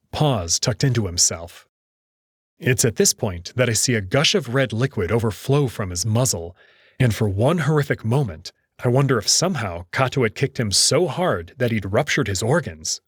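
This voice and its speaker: A man's voice: mid-range voice, husky, no room sound, studio quality. A man narrating a scripted story in the first person, in a dramatic reading style.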